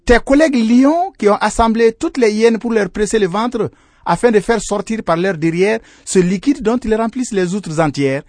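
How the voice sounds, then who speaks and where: Man doing a high, meek female sheep. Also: lively intonation, moderate pace, a little mellow, no room sound. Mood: artful, explaining. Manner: high, deferential. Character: meek, clever female sheep, devoted wife.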